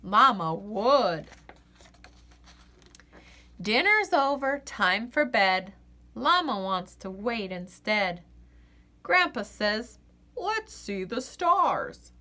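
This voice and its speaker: A woman reading a children's picture book aloud in an expressive, sing-song way. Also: medium pitch, very slow, pauses often. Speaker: children's story reader.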